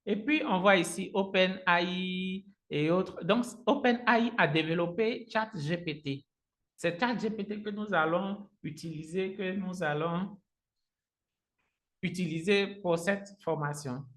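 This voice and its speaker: A man's voice: high-pitched, unhurried, fairly bright tone. Echoey male tutor, native Agni speaker. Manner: instructional, step by step, repeats phrases. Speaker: online trainer. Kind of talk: live software tutorial with screen share. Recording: roomy, echoing sound.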